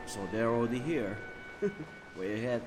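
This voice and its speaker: A man comments casually over a video game at a slow, halting pace. His voice is medium-pitched and his manner offhand, with game music clearly behind him. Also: low-quality recording. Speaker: gamer, streamer.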